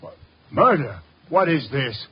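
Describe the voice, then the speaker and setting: An actor playing a blunt, defensive, street-tough forger in a 1950s radio crime drama. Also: male voice, on the high side, big pitch swings, a little slow. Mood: alarmed. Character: surly, slangy male forger, small-time swindler. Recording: dull, noisy old radio recording.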